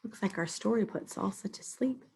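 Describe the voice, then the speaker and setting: A woman reading a story aloud to children in an expressive way, with deliberate, dramatic emphasis. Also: medium pitch, creaky, a little hiss or hum. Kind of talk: expressive read-aloud narration.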